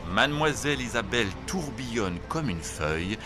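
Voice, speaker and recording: A man narrating a children's cartoon tale in an expressive storybook manner. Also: medium pitch, moderate pace, over music.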